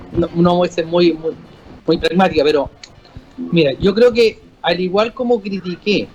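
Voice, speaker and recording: A man with a Chilean accent, a guest on a political panel, arguing his view in a measured way with frequent restarts. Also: on the high side. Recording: slightly muffled audio with faint music.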